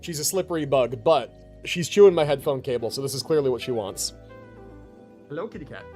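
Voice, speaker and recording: A man's voice: medium pitch, lively intonation, brisk, darkish tone, soft background music. A man casually commentating a live game stream, chatting with viewers in rambling, informal gamer slang.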